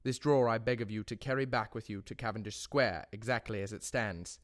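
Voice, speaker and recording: A man's voice: fairly low pitch, steady tone, brisk, lightly acted. A young man playing a desperate, doomed Victorian doctor in an audio drama, speaking his letters in a grave, pleading, formal way. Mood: urgent, distressed. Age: young adult.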